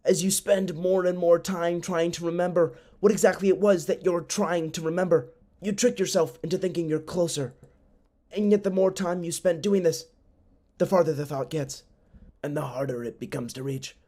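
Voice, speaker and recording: A man's voice: a little high, steady tone, moderate pace, mellow. A man narrating a scripted tale for a puppet show in a theatrical, solemn, literary manner.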